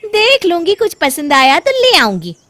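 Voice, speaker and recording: A haughty young daughter-in-law voiced by a woman narrator in a comic storybook tale. She is curt, irritable and mocking, pitched well up high with a lively, swinging delivery. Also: normal speed, dry recording, exaggerated voice. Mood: dismissive.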